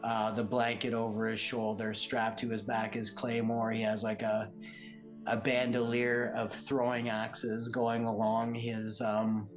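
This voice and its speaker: A man's voice: lowish voice, level tone, measured pace, dull audio, faint music behind. A man describing his character as a player in a tabletop game, in a rambling, casual way with hesitations.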